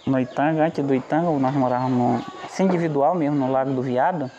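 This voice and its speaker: A man's voice: mid-range voice, quick pace, muffled recording, noisy background. A man from a riverside community telling an old story in a plain, rambling way. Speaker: community resident. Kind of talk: oral storytelling.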